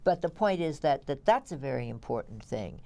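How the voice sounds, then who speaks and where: A woman's voice: extremely low pitch, sing-song, a little fast, creaky, warm tone. A woman, talking casually as a critic and co-host, opinionated and matter-of-fact. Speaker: theatre critic, show co-host. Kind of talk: casual review discussion.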